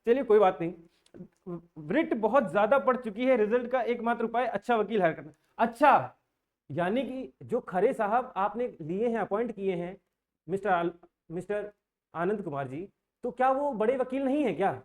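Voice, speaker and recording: Young guy, pretty high voice, earnest and argumentative, talking straight to viewers, drops english words in. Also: expressive, normal speed. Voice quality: Hindi with English words mixed in. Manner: earnest, argumentative, rhetorical questioning. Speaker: exam-aspirant community vlogger. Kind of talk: informal explanatory commentary addressed to viewers.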